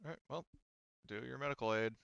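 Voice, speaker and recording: A man acting as game master, narrating a tabletop sci-fi horror game in a casual, halting way, thinking aloud. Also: mid-range voice, gravelly, a bit bright, clean tone, dry recording, highs cut off.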